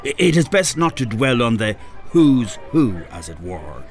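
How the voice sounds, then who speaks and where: A smug Irish kidnapper in a darkly comic radio play. He is pompous and mock-genteel, savouring his menace with big swoops of pitch. Music sits behind the voice. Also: sing-song, unhurried. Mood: smooth menace. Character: smug, menacing male kidnapper and handler of assassins.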